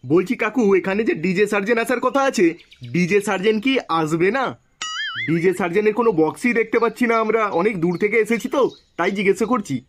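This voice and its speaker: A man's voice: sits high, fast, faint music behind, natural voice. A man voicing a brash, know-it-all young village fellow in a comedy cartoon, speaking bluntly with a West Bengal accent. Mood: inquiring. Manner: blunt, colloquial, exclamatory. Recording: clearly noisy track with clicks.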